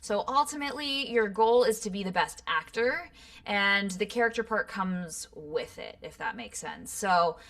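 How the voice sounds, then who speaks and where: A woman voice actor from Los Angeles talks through a question at an online workshop. Her pitch sits in an ordinary middle range, and she explains with chatty, animated asides. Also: measured pace. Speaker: voice actor guest.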